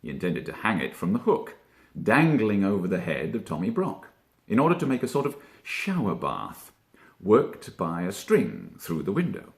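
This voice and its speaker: A man narrating a children's story theatrically, expressive and deliberate, drawing out key words. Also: lowish voice. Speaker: storybook reader, stage actor.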